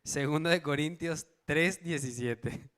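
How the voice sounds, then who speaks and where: A man's voice: a little high, slow, a bit bright. A man preaching to a church congregation. He reads out verses, asks the congregation questions and checks in with them. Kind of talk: Bible study sermon to a congregation.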